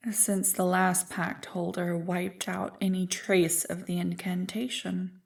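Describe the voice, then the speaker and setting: An ancient evil spirit voiced by a woman in an ASMR roleplay, with a medium-pitched feminine voice. She speaks slowly and deliberately, teasing and taunting with seductive, smug condescension. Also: vocal fry, a little mellow, far from the mic.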